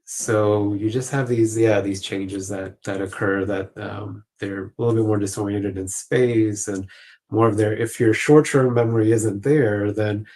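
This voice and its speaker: Low-pitched man explaining things conversationally. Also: fairly even intonation, measured pace, dark tone. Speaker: clinical guest expert.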